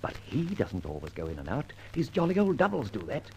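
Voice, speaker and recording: A flippant gentleman adventurer in a vintage radio detective serial. His mid-pitched voice swoops theatrically from line to line, playful and wordy. The old recording carries faint noise. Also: huge pitch swings, fairly quick. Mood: brisk, confident. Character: flippant, dashing gentleman adventurer, the Grey Goose. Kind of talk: old-time radio detective acting and narration.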